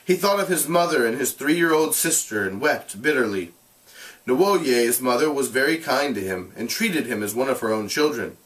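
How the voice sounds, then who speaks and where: Male teacher, expressive read-aloud narration, very lively intonation, deliberate explanatory delivery, mic set back from the voice. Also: medium pitch, recorded from a distance, rough recording. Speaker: teacher reading a novel aloud.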